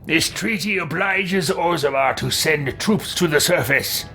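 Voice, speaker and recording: A man's voice: fairly high pitch, unhurried, vocal fry, sharp tone, faint music behind, exaggerated voice. A man roleplaying a bold, blunt warrior hero from a fantasy video game in a declamatory way. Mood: firm, defiant.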